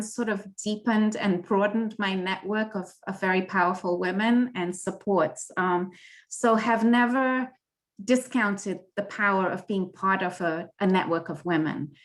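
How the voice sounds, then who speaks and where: A middle-aged woman, speaking on a panel in an earnest, animated way. Her voice sits at a middle pitch for a woman. She is heard over a video call. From Kenya and Tanzania. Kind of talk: panel discussion.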